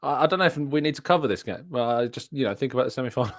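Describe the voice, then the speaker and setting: A man's voice: mid-range voice, expressive, rapid-fire, no room sound, clean studio sound. A young man hosting a casual football podcast from a home room over a video call, chatty and self-deprecating, laughing often. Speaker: host. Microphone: studio mic on desk with headphones.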